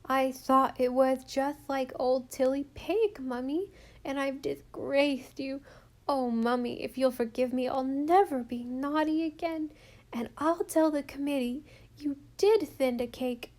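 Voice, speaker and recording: A woman voicing a small, earnest little girl who lisps her s sounds and talks in a slow, pleading way. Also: high-pitched, warm tone, pitched above the performer's own voice. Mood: tearful pleading. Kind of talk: storybook reading.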